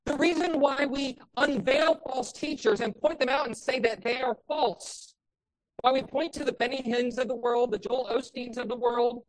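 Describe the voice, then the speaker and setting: A woman pastor preaching a sermon with a loud voice, building passionately to emphatic peaks. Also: high-pitched, steady tone, moderate pace, poor audio.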